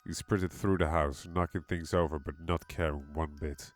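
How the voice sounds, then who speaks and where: A man's voice: extremely low pitch, fairly even intonation, normal speed. A man giving a dramatic scripted narration of a horror story. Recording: music behind the voice.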